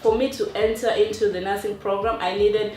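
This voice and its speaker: Kenyan-born nurse in a roomy interview. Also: female voice, medium pitch, steady tone, a little fast, mellow, far from the mic, soft background music. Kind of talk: casual interview.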